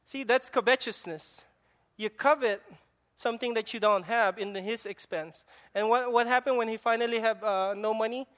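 A male preacher with a Filipino accent delivers a sermon in a noticeably high voice. He stresses his points emphatically, often repeating words and restarting his sentences. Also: normal speed, a bit bright. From Philippines. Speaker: pastor.

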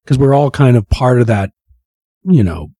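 A man's voice: mid-range voice, animated, warm tone, slightly rough, no echo, studio quality. A man co-hosting a casual podcast, rambling and cursing, losing his voice to a cold. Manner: rambling, profane, restarts and drawn-out phrases. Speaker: podcast co-host.

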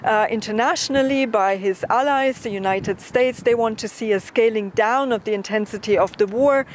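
A woman news correspondent giving a live report, speaking haltingly with frequent hesitations and repeated words. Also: fairly high pitch, a little hiss or hum.

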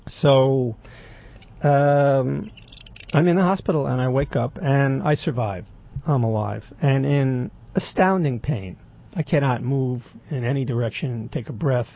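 Raspy older man with gravelly voice. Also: medium pitch, measured pace, highs cut off. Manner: dry, wry humor with many fillers. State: throat occasionally closing up. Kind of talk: rambling personal account.

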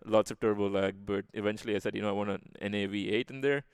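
A man's voice: fairly low pitch, fairly even intonation, quick pace, smooth, no room sound, studio quality. A man from India with an Indian accent, chatting casually as a podcast guest. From Chennai, India. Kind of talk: casual interview chat.

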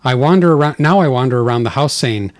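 A man's voice: medium pitch, fast talker, a little mellow, dry recording. A man hosting a casual podcast, joking and laughing often as he reads listener mail aloud. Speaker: podcast host.

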